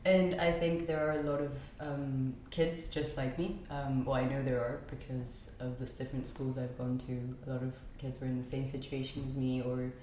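A woman's voice: a bass voice, little pitch movement, normal speed, hardly any pauses, dark tone. A young woman from Spain, a podcast guest chatting casually, with frequent ums and light laughter. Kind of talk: casual conversational chat. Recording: very echoey room with faint noise.

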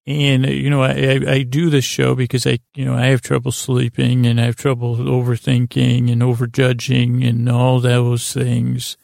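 A man with a creaky voice, hosting a podcast as a rambling, halting bedtime monologue that trails off and restarts. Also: mid-range voice, monotone, a little mellow, no echo, clean studio sound. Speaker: podcast host.

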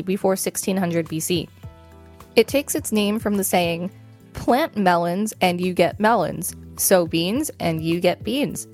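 Woman reading aloud, mid-pitched voice. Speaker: podcast co-host. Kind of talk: reading an encyclopedia article aloud with casual asides.

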